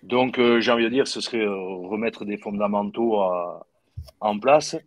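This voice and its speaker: A middle-aged man speaks over a video call from his home, his low voice stopping and restarting around fillers and repeated words. The sound is dry and close, with no room behind it. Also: moderate pace, no room sound. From southwest France. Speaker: guest, former footballer. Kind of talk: casual video-call discussion. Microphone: video call webcam.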